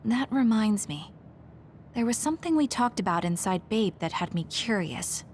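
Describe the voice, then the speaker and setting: A woman's voice: mid-range voice, lively intonation, normal speed, darkish tone, a little hiss or hum, lightly acted. A woman playing a composed, determined young fighter in an English anime game dub. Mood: serious, composed.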